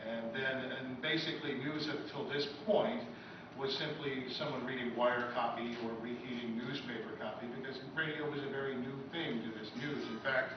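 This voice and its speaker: A man giving an informal history lecture in an off-the-cuff, halting way, with frequent asides. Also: medium pitch, steady tone. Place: echoey convention room, live talk. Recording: very echoey room, distant mic, dull, noisy.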